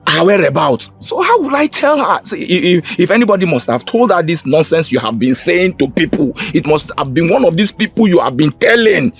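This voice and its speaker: A man's voice: sits high, breathy edge, full character voice. An actor playing an anxious young man who protests his innocence to his friends.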